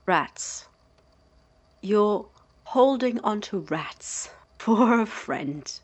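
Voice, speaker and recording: A performer acts out an exasperated roommate in an audio drama. The delivery is slow and blunt, full of sardonic disbelief. The pitch sits in a middle range. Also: animated. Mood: appalled disbelief. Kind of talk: naturalistic audio drama acting.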